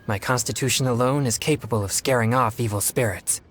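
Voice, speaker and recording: An actor playing an earnest young exorcist in a video game, speaking politely and formally with hesitant pauses. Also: male voice, mid-range voice, a bit bright, a little hiss or hum, lightly acted. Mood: earnest, composed. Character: earnest young male exorcist, excitable yet self-controlled.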